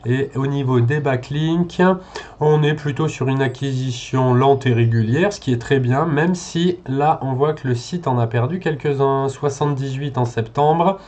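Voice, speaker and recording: A man's voice: medium pitch, moderate pace, a little mellow. Man thinking aloud, conversational and hesitant. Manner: conversational, hesitant, often punctuating with check-in tags. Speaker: SEO consultant presenting a website audit. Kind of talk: unscripted screen-share commentary, thinking aloud.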